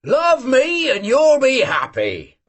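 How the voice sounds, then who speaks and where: A man's voice: high-pitched, wildly swooping pitch, unhurried, breathy edge, voice pushed up, exaggerated voice. A man playing a bellowing old Cossack joker. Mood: teasing. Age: older. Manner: bellowing, teasing, emphatic. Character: boisterous old male Cossack hunter, a bragging joker.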